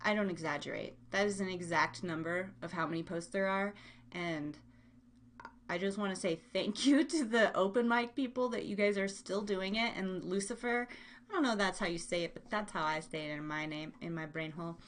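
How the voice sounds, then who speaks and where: A woman's voice: mid-range voice, a little fast, slight background noise. A woman hosting a casual live chat show, rambling and giggly, with playful self-deprecation and silly exaggeration. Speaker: podcast host.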